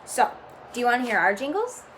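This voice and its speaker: Young woman reading a book out loud to a class, kinda high voice, earnest. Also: a little fast, a little hiss or hum, rough recording. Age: young adult. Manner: earnest, steady reading, turns bubbly and upbeat for the activity. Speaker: student reader. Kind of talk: reading a book aloud, then leading a class activity.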